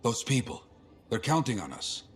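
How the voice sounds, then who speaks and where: A man's voice: mid-range voice, sing-song, moderate pace, close to an everyday voice. Grave, insistent male comrade played by an actor. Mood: urgent. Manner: serious, insistent. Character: grave, urgent male comrade bringing bad news. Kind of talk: video game dialogue acting.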